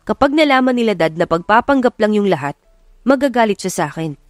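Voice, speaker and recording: A smooth-talking man in his late twenties voiced by a woman narrator in an audio romance. He is stubborn and assured, slipping English into his Tagalog. The sound is dry and close. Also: medium pitch, animated, fast talker, no echo, faint music behind, close to an everyday voice. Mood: pleading, insistent.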